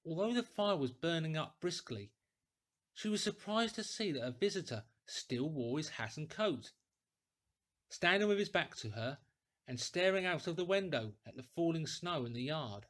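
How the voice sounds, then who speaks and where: A man reading a classic story aloud in a deliberate, theatrical, clearly articulated way. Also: a little high, expressive, a bit bright. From England. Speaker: audiobook reader, actor. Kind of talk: dramatic scripted storytelling.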